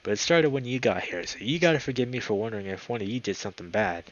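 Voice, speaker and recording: A man's voice: fairly low pitch, lively intonation, fast talker, lightly acted, rough recording. A man voicing a crazed weasel gunman from a visual novel, folksy, brooding and accusing. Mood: accusing, menacing. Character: crazed male weasel gunman, rural and menacing.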